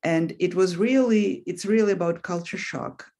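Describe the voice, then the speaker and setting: Soft-spoken woman with a Russian accent. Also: fairly low pitch. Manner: thoughtful, pauses with frequent "um". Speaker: guest author. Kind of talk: casual interview conversation.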